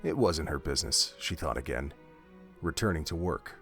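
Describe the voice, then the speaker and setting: A man narrating a fan audio drama in a measured, expressive storytelling manner. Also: rumbling low, soft background music. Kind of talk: scripted audiobook-style narration.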